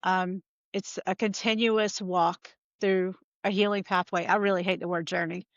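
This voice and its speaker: A woman's voice: on the low side, flat delivery, moderate pace, dry recording, lo-fi sound. A woman with a Southern US accent, a podcast guest chatting casually over a remote call, with lots of fillers. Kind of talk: casual interview talk.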